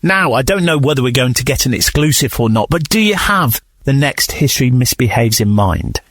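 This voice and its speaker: A man presents a radio interview in a conversational manner. His voice is mid-pitched and recorded dry, with little sense of space. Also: expressive, fairly quick, fairly bright tone, dry recording. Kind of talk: relaxed interview chat and links.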